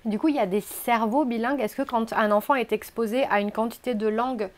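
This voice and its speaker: A French woman hosts the interview, summing up what her guest has said before posing longer follow-up questions. Her voice sits somewhat high for a woman. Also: steady tone, brisk. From France. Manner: summarizing, probing questions. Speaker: podcast interviewer.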